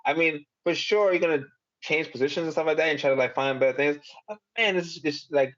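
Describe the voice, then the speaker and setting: A man's voice: on the high side, a little fast, fairly bright tone, lo-fi sound. A young adult man, a fighter, giving casual interview answers in a rambling way, full of fillers and short laughs. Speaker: MMA fighter.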